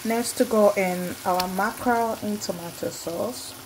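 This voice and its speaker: A woman from Ghana, gently narrating a home cooking video step by step and blessing her viewers. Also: mid-range voice. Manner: gentle, unhurried, affectionate sign-off with blessings. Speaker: cooking vlogger. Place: home kitchen at the stove. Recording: sizzling frying noise behind the voice.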